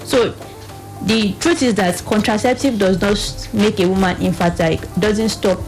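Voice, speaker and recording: A Nigerian woman speaks as a health advocate in a radio interview. Her pitch is average and she explains in a halting way, repeating phrases. Faint music sits behind her. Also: a little mellow, poor audio. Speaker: reproductive health advocate, studio guest.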